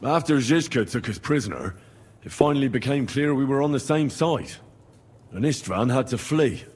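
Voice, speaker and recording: A man's voice: medium pitch, expressive, normal speed, a little mellow, slightly rough, in a large hall. A man playing an earnest, plain-spoken young medieval squire in dramatic video game dialogue. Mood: earnest recounting.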